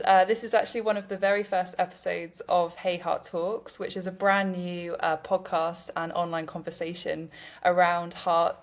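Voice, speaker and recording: A woman hosting a conversational podcast interview, speaking in a gracious, informal way. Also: on the low side. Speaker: podcast host and poet.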